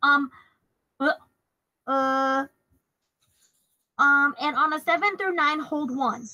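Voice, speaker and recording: A woman chats casually as a player in a tabletop roleplaying game, a little hesitant as she works out her moves. Her voice is high and clearly bright, captured dry with barely any room sound. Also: takes it slowly, no echo, muffled recording.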